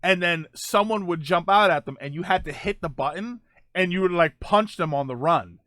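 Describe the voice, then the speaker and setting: A man's voice: sits high, moderate pace, bright, forceful tone, no echo, clean studio sound. A man hosting a gaming show, chatting casually with viewers and thinking aloud in a rambling, conversational way. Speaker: host of a gaming show, streamer. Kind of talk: casual chat answering live viewer comments.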